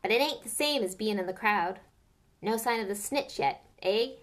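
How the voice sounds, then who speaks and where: A big, gruff, good-natured giant of a groundskeeper, put on by a woman reader in a storybook reading. He speaks in rough country speech, dropping his letters and clipping his sentences. His voice sits in an ordinary middle pitch. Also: moderate pace, lightly acted.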